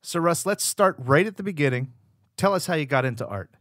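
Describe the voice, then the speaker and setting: A man co-hosts a podcast, asking questions in a friendly tone. His voice is bright and lively, with a lot of movement in pitch. The sound is dry and close. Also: no room sound. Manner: friendly, quick to laugh. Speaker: podcast co-host. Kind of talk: interview questions.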